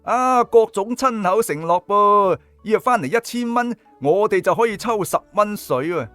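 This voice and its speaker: A woman's voice: medium pitch, lively intonation, moderate pace, a bit bright, no room sound, soft background music, natural voice. A woman voicing an eager young small-time gangster in a scheming, exclamatory way, as audiobook character acting. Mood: excited, greedy.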